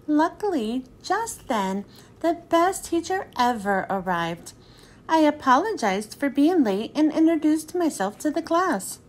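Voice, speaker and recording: A woman reading a children's picture book aloud in an expressive storytelling manner, then thanking her listeners. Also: sits high, measured pace.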